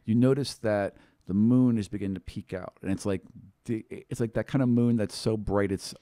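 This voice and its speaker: Low-voiced man running a tabletop game. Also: steady tone, moderate pace, mellow, dry recording. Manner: casual, conversational, agreeable. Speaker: game master. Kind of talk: improvised narration of a tabletop roleplaying game.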